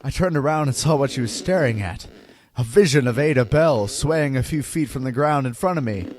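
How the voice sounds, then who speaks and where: A man's voice: mid-range voice, animated, natural voice. A man playing a frightened husband and father, recounting a haunting in grave, dramatic first-person horror narration. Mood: panicked urgency. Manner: grave, dramatic, deliberate phrasing. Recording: loud music under voice.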